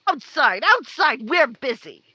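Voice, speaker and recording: A woman's voice: sits high, sing-song, measured pace, bright, forceful tone, no echo, muffled recording, heavily acted. A woman playing a hard, defiant older crime boss in a 1950s-style radio drama, snapping and combative. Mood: threatening.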